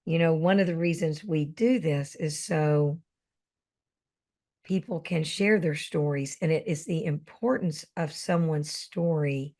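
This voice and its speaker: A woman host with a low voice who speaks slowly and deliberately. Her phrasing is earnest and appreciative as she leads the interview. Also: mellow. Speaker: podcast host. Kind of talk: interview questions.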